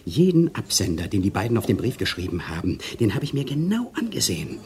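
A manipulative, silver-tongued male swindler in love, with a low voice. His delivery swings widely, theatrical and intimate, slipping into murmurs, in the manner of a radio crime play. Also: sing-song, fast talker, darkish tone, slight background noise. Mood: conspiratorial. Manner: intimate, theatrical, often drops to murmurs and whispers. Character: smooth-talking male conman lover, self-pitying, manipulative. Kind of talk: German radio crime drama acting.

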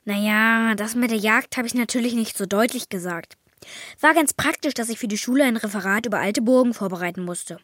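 A woman's voice: on the high side, brisk, a bit bright, dry recording, clean studio sound. A woman playing a candid, slightly sheepish schoolboy apprentice ghost hunter in a children's radio play.

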